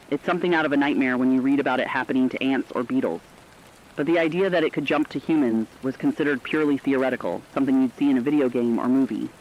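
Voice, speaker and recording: A man's voice: mid-range voice, lightly acted. A man playing an earnest, compassionate radio co-anchor, giving solemn, emotive scripted commentary on breaking news. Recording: dull lo-fi radio sound, faint noise.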